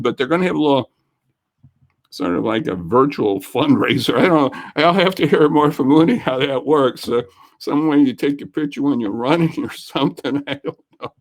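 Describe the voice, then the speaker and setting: Chatty man hosting a video-call interview. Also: medium pitch. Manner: folksy, chatty, warm thanks. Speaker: host. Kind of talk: casual local interview show.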